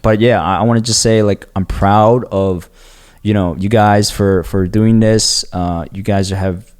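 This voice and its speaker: A man with a lowish voice that is hoarse from shouting talks in a relaxed podcast chat. He is laid-back and slangy, and he often agrees with the other host. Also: measured pace.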